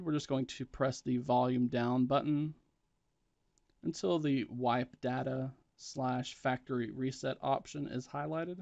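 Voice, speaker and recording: A man's voice: mid-range voice, gravelly, darkish tone, dry recording. Man, tutorial presenter, matter-of-fact step-by-step instruction, dry room sound, slightly lo-fi. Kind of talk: step-by-step spoken tech tutorial.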